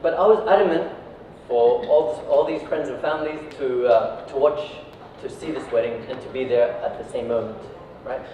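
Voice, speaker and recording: A man's voice: medium pitch, moderate pace, hardly any pauses, far from the mic. A man from Iraq giving an informal, conversational talk to an audience, often checking in with short tag questions. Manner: conversational, peppers sentences with tag questions like right and yeah. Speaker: speaker giving a talk, company founder. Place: echoey indoor venue, recorded at a distance. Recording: echoey room from afar, dull, faint noise.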